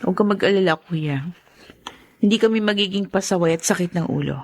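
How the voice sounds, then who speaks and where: A woman's voice: on the low side, fairly quick, darkish tone. A woman playing a conciliatory, dutiful young working Filipino woman in an audio drama. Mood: appeasing. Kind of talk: Filipino radio-style drama acting.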